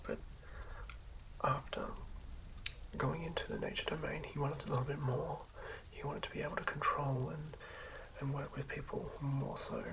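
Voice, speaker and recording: A person whispering softly in an ASMR style, narrating a tabletop character build in a breathy, creaky hush. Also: mid-range voice, little pitch movement, moderate pace, pauses often, very noisy. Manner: hushed whispering. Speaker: ASMR creator.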